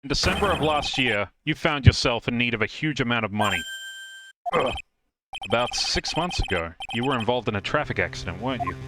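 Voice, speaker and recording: A man's voice: medium pitch, expressive, brisk, heavy background noise, close to an everyday voice. A man voicing an earnest, persistent and wry defense lawyer who reasons aloud in a fan dub of a video game. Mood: pressing. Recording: loud music behind the voice.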